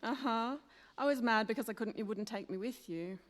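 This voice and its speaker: A woman's voice: fairly high pitch, normal speed, close to an everyday voice. A woman playing an eager, affectionate newspaper colleague in an old-time style radio play. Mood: confiding. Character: eager, affectionate young female newspaper reporter's sidekick. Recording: echoey hall, long reverb.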